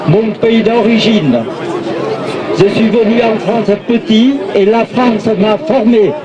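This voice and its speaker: Older man, high voice, slow, deliberate rally speech on a hand mic. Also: dull audio. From Vietnam. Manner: halting, repeats "vous voyez?" to the crowd. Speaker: protest speaker. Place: outdoor street rally in a city square. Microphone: handheld mic through a loudspeaker. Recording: open-air rally with crowd noise. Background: crowd of protesters.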